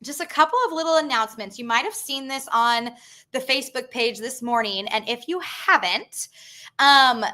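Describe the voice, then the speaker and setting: A young woman hosts a casual live stream about a group tour, speaking in a chatty, upbeat way and filling pauses with um. Her voice is bright and crisp and sits a little high. She reads out viewer comments and answers them warmly. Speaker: travel company host.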